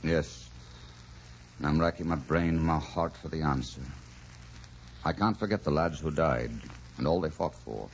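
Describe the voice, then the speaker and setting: An actor playing an earnest, torn Irish delegate in old-time radio drama, grave and impassioned. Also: male voice, extremely low pitch, moderate pace, long pauses. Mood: tormented, tender. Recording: dull, noisy old radio recording.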